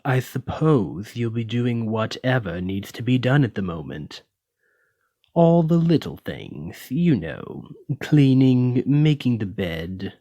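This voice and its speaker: A man's voice: medium pitch, darkish tone, no echo, studio quality. A man playing a haughty, menacing lamia noble in an ASMR roleplay, speaking with condescending, theatrical, aristocratic deliberation. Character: haughty, menacing male lamia noble, wealthy retired merchant. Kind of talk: ASMR roleplay addressed to the listener.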